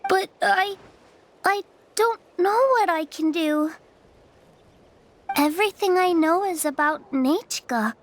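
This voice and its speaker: An actress playing a timid, vulnerable young girl who speaks haltingly in a video game story. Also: extremely high pitch. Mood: lost, hesitant. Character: timid, unsure young girl who lost her memory. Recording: music under the voice.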